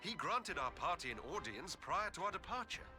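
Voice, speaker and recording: An actor playing a polite, earnest envoy in a video game, with courteous formal diction. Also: male voice, high voice, very animated, a little fast, over music. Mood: earnest, grave. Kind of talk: video game cutscene acting.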